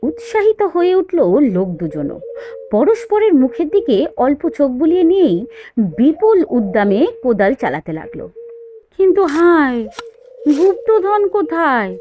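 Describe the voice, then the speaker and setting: High, lively woman storyteller. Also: unhurried. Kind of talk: dramatised scripted story narration. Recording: dull, faint noise.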